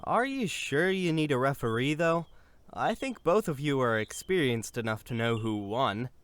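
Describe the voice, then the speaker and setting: A man voicing a timid, nervous younger brother in an audio drama, hesitant and halting. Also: medium pitch, sing-song, clean tone, no room sound, natural voice. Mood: doubtful. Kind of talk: audio drama character acting.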